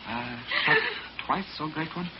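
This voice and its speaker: A man's voice: fairly high pitch, unhurried, natural voice. A man playing a devoted servant of a mystic, formal and deferential, in 1940s radio drama style. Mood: hushed, deferential. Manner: formal, reverent address. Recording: muffled, very noisy 1940s radio recording.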